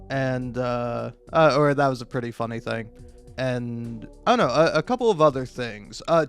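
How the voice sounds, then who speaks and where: A young man telling casual, self-deprecating stories in an animated, rambling monologue, recorded on a modest home mic. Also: medium pitch. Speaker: video creator. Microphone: cheap mic, home recording. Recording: amateur mic with occasional plosive pops. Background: music.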